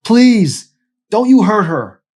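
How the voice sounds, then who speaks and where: A man voicing a protective, desperate teenage boy from a novel, strained and forceful. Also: high-pitched, big pitch swings, slow, pitched above the performer's own voice, full character voice. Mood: desperate shouting.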